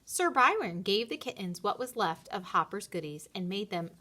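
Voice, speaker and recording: Woman, storybook narrator, expressive read-aloud, bright tone, very lively pitch. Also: normal speed.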